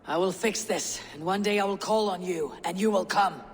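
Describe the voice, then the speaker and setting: An actress playing a steadfast, commanding Viking leader in a video game, speaking in a resolute, formal manner. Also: female voice, mid-range voice, moderate pace, slight background noise, natural voice. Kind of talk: dramatic video game acting.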